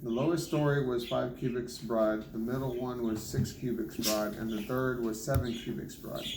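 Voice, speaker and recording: A man's voice: mid-range voice, steady tone, normal speed. A man reading scripture aloud as a Bible reader, sometimes stumbling and rereading words. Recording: noisy, echoey home recording with clicks.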